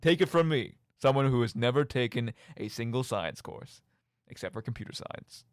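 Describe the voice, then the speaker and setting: A man's voice: mid-range voice, animated, moderate pace, no echo, clean studio sound. A young man hosting a radio show, talking casually about video games in a rambling, jokey, self-deprecating way. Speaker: radio show and podcast host.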